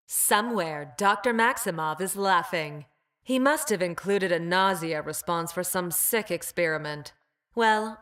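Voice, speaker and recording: A woman's voice: fairly low pitch, bright, forceful tone. A woman playing a clinical, detached female android scientist in a video game, speaking with formal precision and ruthless logic. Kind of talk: video game character voice lines.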